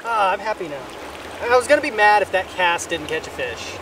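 A man's voice: high-pitched, lively intonation, brisk. A man fishing with a friend by a river, joking in a laid-back way. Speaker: fishing buddy and cameraman. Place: outdoors by a river. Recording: distant outdoor sound with loud stream noise.

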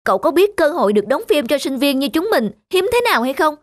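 A woman voicing a kind, modest young woman student actress in an animated series, speaking gently and hesitantly. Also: sits high, fast talker, no echo, studio quality. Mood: curious. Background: background music.

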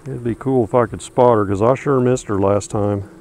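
A man talks casually and folksily as he works his beehives, thinking aloud between fillers. His voice sits at an ordinary middle pitch. Clear music and buzzing bees fill the background of this outdoor recording. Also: fairly even intonation, normal speed, darkish tone. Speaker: beekeeper vlogger. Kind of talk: casual narration while working. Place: outdoors in a wooded bee yard.

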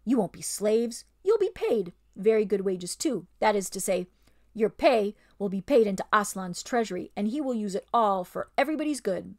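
A woman's voice: mid-range voice, animated, normal speed, darkish tone, lightly acted. A woman doing a snarling bully of an ape. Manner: snarling, domineering, sly. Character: scheming bullying male ape.

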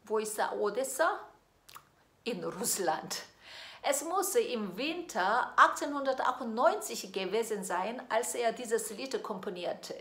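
A middle-aged woman with a Korean accent tells a song's story slowly and lyrically, asking her listener questions as she presents it. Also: fairly high pitch, lively intonation, a bit bright. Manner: lilting, questions the listener directly. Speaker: classical singer presenting a song. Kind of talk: slow storytelling to the viewer. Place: indoor studio in front of green screen. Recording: echoey room with faint music.

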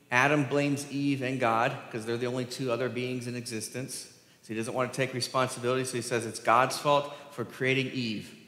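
A man's voice: medium pitch, fairly bright tone. An American man preaching a conversational sermon as a pastor, informal and explanatory, driving points home with repetition. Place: large church sanctuary, at the pulpit. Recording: echoey church hall, long reverb.

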